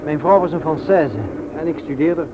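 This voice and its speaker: A man's voice: on the high side, moderate pace, natural voice. An actor playing a shy, polite widower in his thirties, hesitant and formal, in a Dutch radio play. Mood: reserved, polite. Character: shy, awkward, polite widower on a blind date. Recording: noisy old radio recording.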